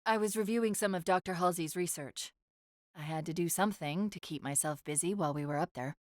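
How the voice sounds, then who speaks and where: A woman voicing an urgent, clever military AI companion in a video game, wry and earnest, giving crisp tactical briefings with an undercurrent of strain. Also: lowish voice, brisk, no room sound, studio quality, close to an everyday voice. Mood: strained, vulnerable.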